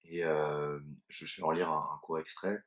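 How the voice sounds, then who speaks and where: A man interviewing a guest, asking informal questions in a halting way with frequent restarts. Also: extremely low pitch, fairly even intonation, fairly bright tone.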